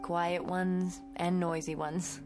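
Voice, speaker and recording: An actress playing a kind, slightly uneasy schoolteacher in her twenties in a radio drama, talking in a gentle, coaxing way. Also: on the low side, little pitch movement, takes it slowly. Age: young adult. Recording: radio drama with prominent music underneath.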